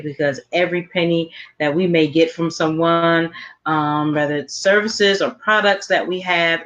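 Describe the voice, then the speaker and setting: A podcast co-host with a slightly raspy, tired voice, making casual show announcements in a halting way that repeats words. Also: mid-range voice, fairly bright tone, lo-fi sound.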